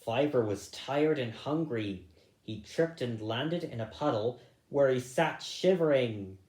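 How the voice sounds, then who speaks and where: A man's voice: mid-range voice, expressive, unhurried, darkish tone. A man reading a children's story aloud as narrator, recorded in an echoey room. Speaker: story reader.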